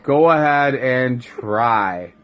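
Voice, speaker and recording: A man voicing a cocky young storybook pig who talks back in a casual, deadpan way. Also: medium pitch, very slow. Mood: defiant.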